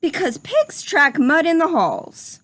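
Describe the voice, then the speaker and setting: A woman's voice: sits high, sing-song, takes it slowly, a bit bright, pitched above the performer's own voice, full character voice. A woman voicing a stern, dismissive male school principal who scolds firmly in a children's storybook. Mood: scolding. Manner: firm, scolding. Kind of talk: children's storybook read-aloud.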